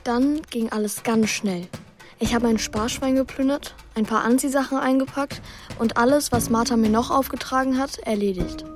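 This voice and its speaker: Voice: mid-range voice, moderate pace, over music, lightly acted. A performer playing an anxious young boy who acts in and narrates a children's radio play, earnest and questioning. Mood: narrating, brisk.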